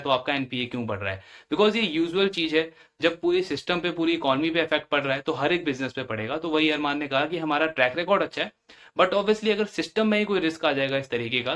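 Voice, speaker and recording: A man's voice: a little high, a bit bright. A man giving explanatory commentary as a financial analyst, going step by step and repeating phrases for emphasis. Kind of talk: explanatory earnings-call commentary.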